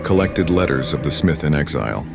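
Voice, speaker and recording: Solemn, extremely deep-voiced zealot. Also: male voice, lively intonation, brisk, vocal fry, full character voice. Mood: solemn, portentous. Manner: solemn, oratorical. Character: solemn, stern zealot reading holy scripture. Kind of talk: scripture recitation in a video game. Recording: music behind voice.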